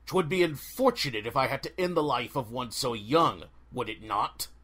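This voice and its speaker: A man's voice: medium pitch, lively intonation, moderate pace, a bit bright, husky, voice pushed down. A man voicing a pompous, smug bandit leader with grandiose, mock-courtly, archaic phrasing in a storybook reading. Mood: smug, threatening.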